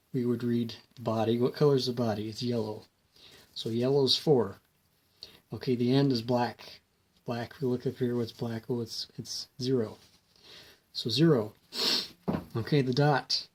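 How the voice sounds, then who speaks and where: A man hosting a hands-on repair tutorial in an informal, folksy way, explaining step by step and thinking aloud. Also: mid-range voice. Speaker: host of a hobby electronics repair series. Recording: clear background noise, homemade video.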